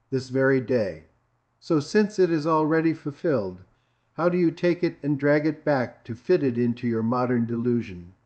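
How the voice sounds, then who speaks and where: A man's voice: medium pitch, darkish tone. A man preaching a sermon in an emphatic, repetitive, argumentative way. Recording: dull lo-fi recording.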